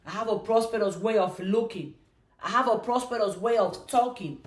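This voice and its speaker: A woman's voice: mid-range voice, normal speed, reverberant. A woman hosting a motivational live stream, chanting repeated affirmations and inviting viewers to join and ask questions. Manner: bursts into excited cheering.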